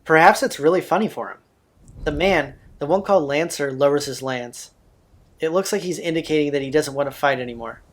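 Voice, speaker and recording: A man's voice: on the high side, fairly quick. A man hosting a let's play, reading visual novel narration aloud. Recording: music playing behind the voice. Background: game soundtrack.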